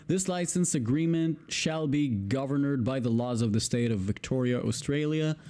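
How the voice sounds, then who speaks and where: A man's voice: mid-range voice, mellow. A man with an Israeli accent, a native Hebrew speaker, reading a legal text aloud, tripping over long words and breaking off to swear in exasperation. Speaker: video creator.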